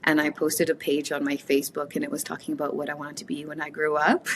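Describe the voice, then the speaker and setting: A woman from Canada whose first language is Cree, speaking reflectively as an interview guest, with frequent pauses and restarts. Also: low voice, slight background noise. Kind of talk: casual conversational interview.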